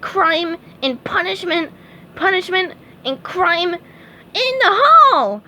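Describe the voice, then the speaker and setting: A very high, excitable cartoon sea sponge boy, as a woman reads him aloud. He makes grand, pompous speeches and shouts with zeal, his voice bouncing all over the place. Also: slow pace, full character voice. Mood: pompous, zealous. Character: eager excitable young male sea sponge. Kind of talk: storybook read-aloud.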